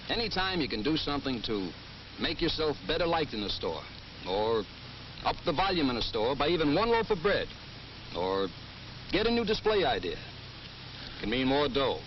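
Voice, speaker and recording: A man with an American accent playing a folksy, eager bread route salesman explaining his job in a 1950s training film. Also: on the high side. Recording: noisy, muffled 1950s film soundtrack.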